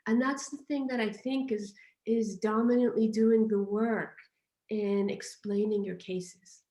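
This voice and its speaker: A woman thinking aloud in an academic discussion, halting and often interrupting herself as she puts a point. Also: mid-range voice, fairly even intonation, mellow, reverberant. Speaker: audience questioner at an academic Q&A.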